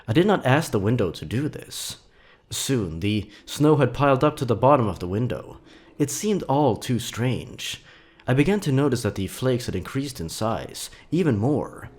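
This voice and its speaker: A man reading scripted horror fiction as a dramatic narrator, with measured, deliberate phrasing. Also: medium pitch, darkish tone.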